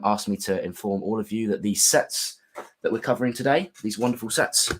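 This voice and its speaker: A man with a sore, gravelly throat hosting a casual whisky livestream, friendly and full of ums and restarts. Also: lowish voice.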